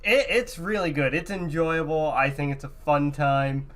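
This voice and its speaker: A man's voice: on the high side, steady tone, moderate pace, a bit bright. A man co-hosting a podcast in a casual, conversational style. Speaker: podcast co-host. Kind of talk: casual chat about movies and games.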